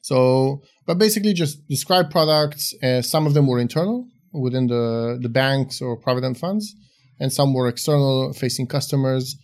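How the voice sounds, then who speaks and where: A man's voice: mid-range voice, moderate pace, a little mellow. A man in his forties who grew up in Israel, a startup investor chatting frankly in an interview, joking and filling gaps with "uh". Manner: frank and joking, with frequent "uh" fillers. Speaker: guest, venture investor. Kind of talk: casual interview chat.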